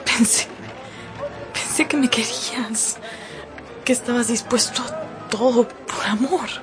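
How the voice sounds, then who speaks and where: High-voiced, desperate romantic young wife. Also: female voice, takes it slowly, music in the background. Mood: hurt. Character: desperate, tearful, romantic married young woman. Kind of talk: radio drama acting.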